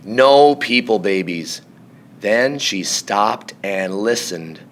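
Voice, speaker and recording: A man reading a children's story aloud in an expressive, theatrical way, drawing out key words for emphasis. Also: on the low side, slow. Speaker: storybook reader. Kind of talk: animated children's read-aloud narration.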